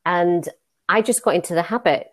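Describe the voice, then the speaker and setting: Low-voiced woman with a Northern English accent. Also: moderate pace, no room sound. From Leeds, England. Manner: warm storytelling, many fillers and restarts. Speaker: guest, money advisor. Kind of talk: conversational interview.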